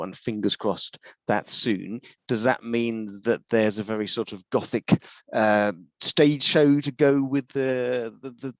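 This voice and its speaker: A man's voice: medium pitch, dry recording. A man hosting a casual radio music interview, asking chatty, rambling questions. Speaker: radio show host, interviewer.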